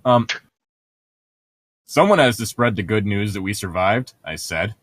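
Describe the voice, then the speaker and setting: A man's voice: fairly low pitch, animated, a little slow, a bit bright, no echo. A man co-hosting a podcast, reading aloud and bantering casually in a playful, sarcastic, sweary way. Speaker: podcast co-host.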